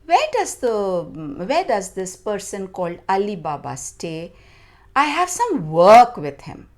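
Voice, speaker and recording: A woman's voice: medium pitch, big pitch swings, slow. A woman storyteller voicing a scheming male bandit leader who poses as an oil merchant in a children's tale. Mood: probing.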